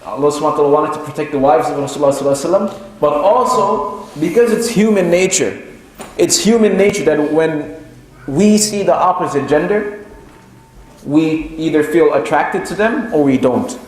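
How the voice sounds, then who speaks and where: Higher-pitched male preacher in an echoey hall. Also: fairly quick. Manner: didactic, repeats key phrases for emphasis. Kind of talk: mosque lecture, explanatory and semi-extemporaneous. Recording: very echoey room, clear background noise.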